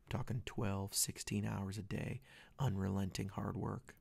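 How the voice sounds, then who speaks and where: A man's voice: fairly low pitch, flat delivery, unhurried, gravelly, a little mellow, husky, no room sound. A man in his thirties hosting a casual solo podcast, talking in a rambling, earnest way with many restarts while he is losing his voice. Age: 30s. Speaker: podcast host. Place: away from home, dry small space.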